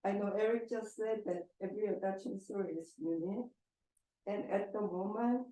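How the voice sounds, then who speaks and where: A woman whose first language is Korean asks the panel a question in an earnest, halting way, pausing to find her words. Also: mid-range voice, dark tone. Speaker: audience member asking a question. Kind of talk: personal question at a public Q&A. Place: large echoey event hall. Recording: roomy hall echo.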